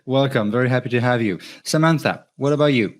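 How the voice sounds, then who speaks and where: A man moderates a panel discussion. He asks guests loose, friendly questions about themselves. His voice sits at a middle pitch. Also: quick pace.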